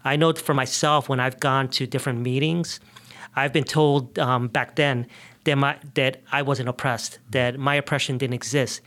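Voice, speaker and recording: A man from the United States speaking as an interview guest, explaining things earnestly in conversational, somewhat halting phrases. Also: mid-range voice, steady tone, fairly bright tone. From United States, grew up in New York and the South. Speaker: immigration justice advocate, interview guest.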